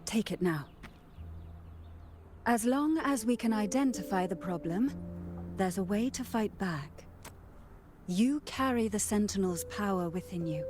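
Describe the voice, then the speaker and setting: An actress playing a composed, commanding noblewoman in a video game, speaking in a firm, measured way. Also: mid-range voice, animated, noisy background, close to an everyday voice. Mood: calm, resolute. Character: composed, authoritative noblewoman who commands her family's followers. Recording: game music clearly behind the voice.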